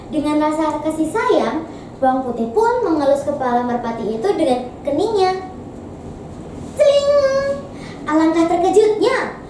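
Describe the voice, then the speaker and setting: High, animated young female storyteller, echoey. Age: teens. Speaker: student storyteller in a competition. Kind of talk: expressive folktale storytelling. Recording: very echoey, distant mic, noisy.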